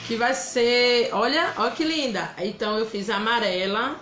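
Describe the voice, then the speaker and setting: A woman's voice: fairly high pitch, normal speed, crowd noise. A woman from Bahia with a Bahian Brazilian accent, hosting a casual live craft demonstration and chatting with viewers by name. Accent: Bahian Brazilian Portuguese. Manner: chatty, greets viewers by name and reads out their comments. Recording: roomy echo.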